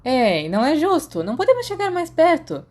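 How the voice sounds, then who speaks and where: A Brazilian woman voicing a cheeky, teasing teenage boy wizard in a story, playful and quick to protest. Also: sits high, huge pitch swings, darkish tone, pitched above the performer's own voice.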